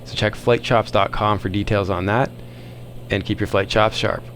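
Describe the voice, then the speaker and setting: A man's voice: lowish voice, fairly even intonation, normal speed, a bit bright, slight background noise. A man hosting a video, narrating in a casual, conversational voice-over.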